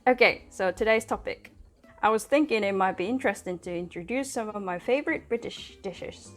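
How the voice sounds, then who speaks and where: A woman's voice: medium pitch, brisk. Japanese woman hosting in English over guitar music. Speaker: host. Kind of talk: casual scripted chat in English for learners. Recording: music behind the voice.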